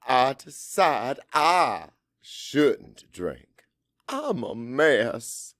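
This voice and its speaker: Male audiobook narrator, mid-pitched, unhurried dramatic delivery with pauses, close dry booth sound. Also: dry recording. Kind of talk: dramatic first-person fiction narration.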